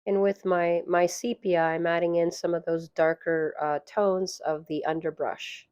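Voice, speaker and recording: A woman narrating a watercolor painting tutorial, hoarse and losing her voice, soft-spoken and chatty. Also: on the low side, little pitch movement, unhurried, no room sound, muffled recording. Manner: soft-spoken, chatty, with fillers and light laughs. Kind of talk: relaxed voice-over tutorial.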